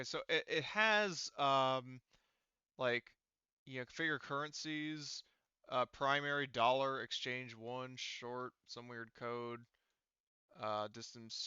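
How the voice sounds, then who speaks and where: A man with a clear, forward voice and some gravel talks informally as a game master. He thinks aloud and swears casually while working through settings. The recording is dry and slightly dull, like band-limited voice chat. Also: medium pitch, bright, forceful tone, no echo. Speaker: game master of an online tabletop roleplaying game. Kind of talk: casual group chat while troubleshooting software.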